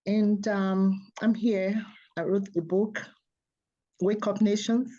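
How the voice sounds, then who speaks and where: Earnest woman guest, warm mellow tone, dull lo-fi line. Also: mid-range voice, level tone, slow pace. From Nigeria. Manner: soft-spoken, earnest, laughs often. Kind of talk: interview guest telling her story.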